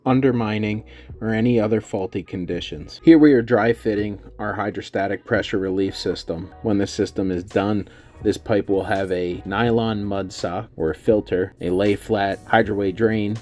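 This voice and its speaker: A man's voice: lowish voice, fairly even intonation, moderate pace, darkish tone, soft background music. A man giving scripted instructional narration as a contractor, in a matter-of-fact, technical way. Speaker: contractor narrating company's repair work.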